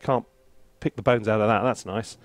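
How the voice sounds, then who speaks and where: A man's voice: fairly low pitch, fairly even intonation, moderate pace, loud music behind the voice. A man giving a casual tutorial commentary as a composer at work, thinking aloud in a playful, self-deprecating way. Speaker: composer reviewing a sample library.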